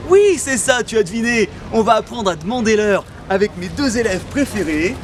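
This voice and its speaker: A man's voice: high-pitched, very animated, normal speed, a little hiss or hum. A man hosting a parody language lesson in a mock-earnest, cheeky manner. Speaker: comedic language teacher host.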